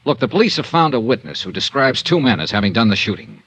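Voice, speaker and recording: An actor playing a shrewd, tough insurance investigator, narrating and talking in a clipped, matter-of-fact 1950s radio noir style. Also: male voice, mid-range voice, sing-song, fast talker, fairly bright tone. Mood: pressing. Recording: muffled vintage radio recording.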